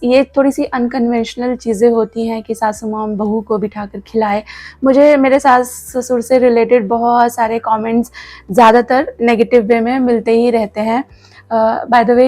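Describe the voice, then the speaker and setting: A woman's voice: fairly high pitch, darkish tone, no room sound. An Indian woman in her thirties talking casually to her viewers in a home vlog, candid and earnest, with a slightly sore, scratchy throat and English words mixed into her speech. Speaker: vlogger. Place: home kitchen.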